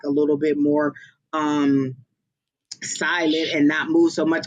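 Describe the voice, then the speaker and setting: A psychic medium giving live-streamed readings in a casual, expressive way, often exclaiming and pausing on fillers. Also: mid-range voice, muffled recording.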